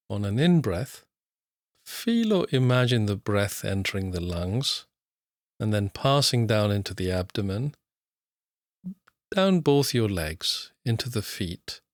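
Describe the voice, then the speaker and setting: Slow, soothing male meditation guide. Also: on the low side, no room sound, clean studio sound. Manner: slow, calm, soothing, with long pauses. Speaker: mindfulness teacher. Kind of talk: guided body scan meditation.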